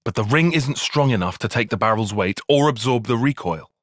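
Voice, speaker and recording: A man voicing an expressive, precise young strategist soldier. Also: mid-range voice, brisk, no echo, studio quality, close to an everyday voice. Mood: measured, analytical. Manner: precise, formal, analytical wording. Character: young male strategist soldier, cerebral, earnest, quick to exasperation. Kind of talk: video game cutscene voice acting.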